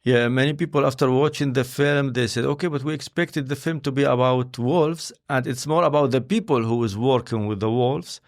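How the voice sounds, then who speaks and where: Gravelly man, halting and searching. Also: medium pitch. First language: not English. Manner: halting, searching for words with many fillers. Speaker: interview guest, scientist and filmmaker. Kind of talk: conversational interview.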